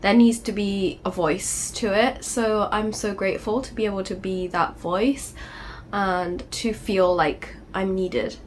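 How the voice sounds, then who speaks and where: A woman speaks to her channel in an earnest, gentle way, often adding "you know". She is getting over a cold, so her voice sounds slightly off. Her pitch sits at a middle height for a woman, with a faint hiss behind her. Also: steady tone, measured pace. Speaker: channel host. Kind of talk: personal confessional vlog.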